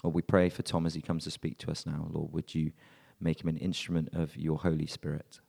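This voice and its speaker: A man reading scripture and leading prayer in a measured, earnest way at a church service. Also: extremely low pitch, little pitch movement, vocal fry, a little mellow, husky. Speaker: scripture reader and prayer leader. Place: church hall. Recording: long reverb of a church hall.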